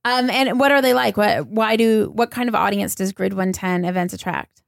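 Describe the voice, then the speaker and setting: A woman's voice: mid-range voice, normal speed, creaky, dry recording, studio quality. A woman hosting a podcast, putting casual interview questions to her guest.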